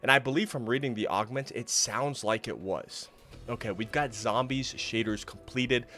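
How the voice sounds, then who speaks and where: A man talking casually through game menus as he thinks aloud, still recovering from an illness and sounding a little rough. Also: mid-range voice, moderate pace, sharp tone. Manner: chatty, thinking aloud while browsing menus. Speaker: gaming YouTuber. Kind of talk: casual gameplay commentary.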